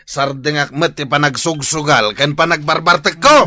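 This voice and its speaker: A man's voice: a little high, moderate pace, close to an everyday voice. A man playing a hot-tempered, hard-drinking gambler husband in a radio drama, jeering and accusatory. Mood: bitter, accusing. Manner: explosive shouting, jeering, accusatory. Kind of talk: Filipino radio drama acting.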